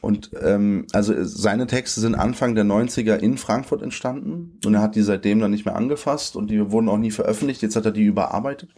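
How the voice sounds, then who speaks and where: A man's voice: on the low side, fairly quick, few pauses, mellow, husky. A man in his late twenties from Germany, a poet talking things over in an interview, thoughtful and searching, with frequent fillers and restarts. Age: late 20s.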